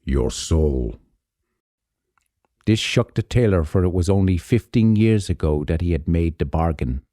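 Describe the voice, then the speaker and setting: A man narrating a folk tale as a storyteller, with theatrical, deliberate phrasing. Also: deep voice, warm tone, dry recording, clean studio sound.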